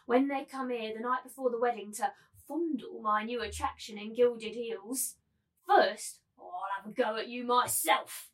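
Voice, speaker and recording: A high-pitched, imperious brothel keeper acted by a woman in a staged book reading. The delivery is theatrical, mocking and cruel, that of a ruthless auctioneer who expects to be obeyed. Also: fairly even intonation, measured pace, fairly bright tone, low-quality recording. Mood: taunting, commanding. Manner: commanding, taunting, theatrical. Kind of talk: dramatised literary reading.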